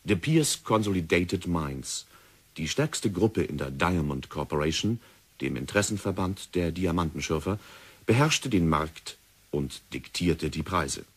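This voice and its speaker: A man narrating a German radio drama from a script in a measured, formal manner. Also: low-pitched, animated, breathy edge.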